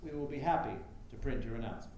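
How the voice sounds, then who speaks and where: A man's voice: mid-range voice, very fast, darkish tone, natural voice. A man playing a persistent, flustered nineteenth-century newspaper reporter in a staged reading, hesitant yet pressing and politely needling. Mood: pressing, skeptical. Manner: hesitant yet pressing, declamatory when quoting. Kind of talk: staged reading, theatrical period dialogue. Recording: very echoey room, faint background noise.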